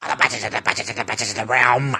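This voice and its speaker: An intense fantasy adventurer played by a man speaks in a sharp, husky, medium-pitched voice. The delivery is urgent and repetitive, like a comic game character. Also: fairly even intonation, fairly quick, full character voice.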